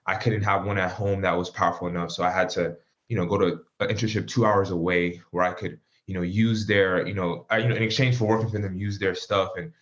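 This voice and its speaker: Deep-voiced man, relaxed and chatty. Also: brisk, lo-fi sound. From Miami, Florida. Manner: relaxed, many fillers like um and you know. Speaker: guest. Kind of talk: casual interview answers.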